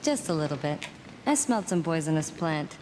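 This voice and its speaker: A blunt bossy young medium, kinda low voice, light background hiss. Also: female voice, big pitch swings, normal speed, a little mellow. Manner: curt, commanding. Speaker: game character.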